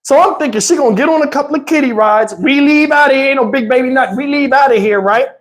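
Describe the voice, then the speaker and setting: A man's voice: very high-pitched, quick pace. Male storyteller, middle-aged, loose and slangy vlog delivery, emphatic and unfiltered. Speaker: storytelling YouTube host. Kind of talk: casual animated storytelling.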